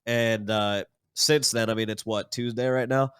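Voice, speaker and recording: A man on a podcast as a guest, sounding stuffed-up and nasal from being sick, telling his story in a relaxed, rambling way. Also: medium pitch, normal speed, clear, resonant tone, no echo, studio quality. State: congested, nasal from illness. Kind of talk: casual podcast chat.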